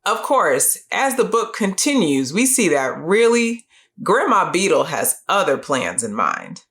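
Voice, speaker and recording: Woman, lowish voice, very varied intonation, middle-aged, measured explanatory delivery, scripted educational presenter. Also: big pitch swings, a bit bright. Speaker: host of a children's book review series.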